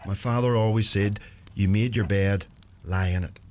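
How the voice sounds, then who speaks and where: An actor playing an earnest, plain-spoken young Irish farmer with a Donegal accent, torn by guilt, in a radio play. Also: male voice, on the low side, fairly even intonation, normal speed, a little mellow, slight background noise, lightly acted. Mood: guilty, troubled.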